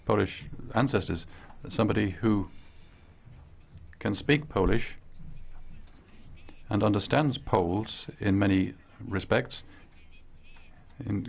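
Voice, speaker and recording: Low-voiced male interpreter, halting phrases. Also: gravelly, muffled recording. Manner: halting, broken into short phrases. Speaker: simultaneous interpreter. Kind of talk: live interpretation of a speech.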